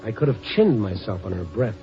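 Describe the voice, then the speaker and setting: An actor playing a world-weary, persistent newspaper reporter with a clipped hard-boiled manner, in an old-time radio drama. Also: male voice, lowish voice, very animated, fairly quick, vocal fry, soft background music. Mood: wry narration. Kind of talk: old-time radio acting and scripted narration. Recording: dull old radio recording.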